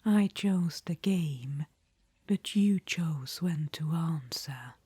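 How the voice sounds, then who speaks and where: A woman's voice: low voice, lively intonation, drawn-out words, slightly rough, no room sound, full character voice. A woman voicing a scheming, dryly wry undead witch queen in a whispered audio roleplay. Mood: coaxing. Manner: soft unvoiced whisper.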